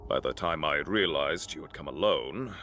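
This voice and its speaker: Actor as a defensive corrupt mayor, swinging pitch. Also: male voice, lively intonation, moderate pace. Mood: strained confession. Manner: defensive, pleading, pragmatic. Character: corrupt, self-justifying male mayor pleading under threat. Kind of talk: dramatic audio drama acting. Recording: music under the voice. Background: dramatic music.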